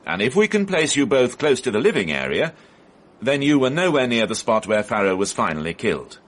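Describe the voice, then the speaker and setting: An actor playing a formal, persistent police inspector in a radio drama, speaking precisely and probingly. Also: male voice, medium pitch, very animated, a little fast, fairly bright tone. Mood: accusing, measured. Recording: faintly noisy archive radio broadcast.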